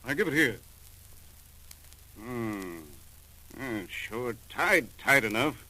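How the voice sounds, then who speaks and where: A man playing a brisk, commanding male police chief in a 1940s radio crime drama. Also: medium pitch, expressive, sharp tone, heavily acted, poor audio. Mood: brisk, demanding.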